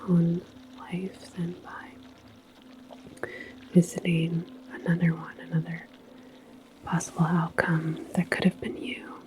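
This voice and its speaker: Woman whispering slowly in sci-fi ASMR. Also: fairly low pitch, monotone, poor audio. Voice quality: soft, breathy whisper. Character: calm, philosophical attendant at a futuristic portal station. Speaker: ASMR roleplayer playing a transit station attendant.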